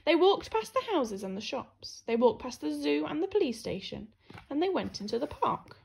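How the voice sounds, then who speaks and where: A woman's voice: high-pitched, sing-song, normal speed, creaky, a little mellow, a little hiss or hum. A woman teacher reading a children's story expressively, with emphatic, dramatic phrasing. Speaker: primary school teacher.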